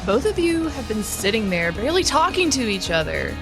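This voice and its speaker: An actress playing a bold, coaxing young woman out partying in an audio drama, with expressive delivery. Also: a little high, brisk, over music. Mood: exasperated, coaxing.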